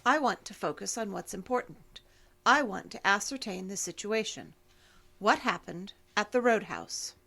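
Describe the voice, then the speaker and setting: A woman's voice: mid-range voice, lively intonation, moderate pace, gravelly, fairly bright tone. An actress playing a persistent, businesslike female investigator in an audio drama, formal, dry and controlled. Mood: insistent, dry.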